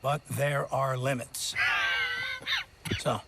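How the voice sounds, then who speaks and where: An actor playing a pompous, prickly American army colonel in a Western game, halting and condescending. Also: male voice, medium pitch, little pitch movement, gravelly, shouting, very noisy. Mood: pompous, stern. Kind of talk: cinematic game acting.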